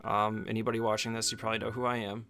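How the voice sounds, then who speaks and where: A man's voice: lowish voice, flat delivery, very fast, a bit bright, slight background noise. Casual man talking through a game speedrun. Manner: informal, swears casually. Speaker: speedrunner giving a tutorial. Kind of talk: casual game walkthrough commentary.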